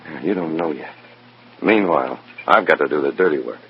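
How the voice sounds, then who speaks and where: An actor playing a wry, bitter undercover FBI informant, sardonic and hard-boiled, in an old radio drama. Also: male voice, a bass voice, expressive, fairly quick, vocal fry, slight background noise, heavily acted. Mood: resentful. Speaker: narrator and protagonist. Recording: dull, muffled old radio recording.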